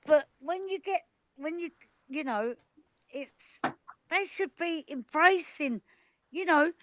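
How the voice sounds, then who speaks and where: A woman's voice: high voice, slow pace, a bit bright, slight background noise, poor audio. A Cockney woman from England chatting casually and bluntly on an online panel, with a complaining way of talking.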